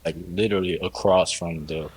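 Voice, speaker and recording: A man's voice: deep voice, steady tone, brisk, breathy edge, rough recording. A man from Haiti, a DJ guest, talking in an easygoing way with short answers and lots of "you know" and "man". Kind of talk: casual interview chat.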